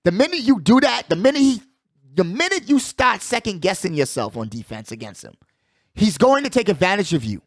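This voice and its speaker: A man's voice: sits high, sing-song, brisk, no echo, studio quality. A man hosting a sports show with casual, opinionated commentary, talking emphatically in slangy phrases and repeating himself to drive points home. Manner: slangy, conversational address to listeners.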